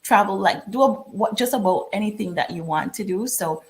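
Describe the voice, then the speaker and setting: A woman's voice: mid-range voice, moderate pace, slight background noise. Woman from Jamaica, chatty, laughs often, video call, interview guest.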